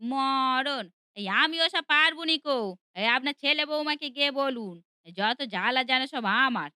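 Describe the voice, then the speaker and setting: A woman's voice: high voice, normal speed, bright, forceful tone, dry recording, studio quality. A woman voicing a bad-tempered young housemaid in a cartoon, scolding and grumbling. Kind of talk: cartoon dubbing.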